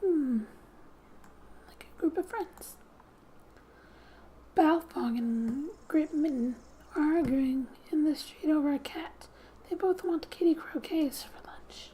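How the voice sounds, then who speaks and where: A soft-spoken woman doing ASMR gaming commentary, gently reading story text aloud and often dropping to a whisper, in an unhurried way. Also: sits high, a little hiss or hum, rough recording. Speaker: video game streamer. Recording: quiet background music. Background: soft music.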